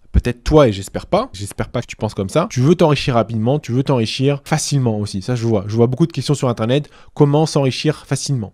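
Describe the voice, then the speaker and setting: A man's voice: medium pitch, darkish tone, no room sound, studio quality. A man in his thirties hosting a real estate training channel, giving direct advice to camera in a persuasive way, speaking straight to the viewer and using rhetorical questions. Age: 30s.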